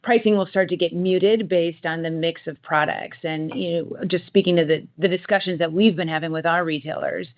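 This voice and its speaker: A man's voice: a little high, fairly even intonation, fairly quick, hardly any pauses. A man speaking as a company executive, explaining business decisions in semi-formal talk on a conference call. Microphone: conference call line. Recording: muffled conference call audio.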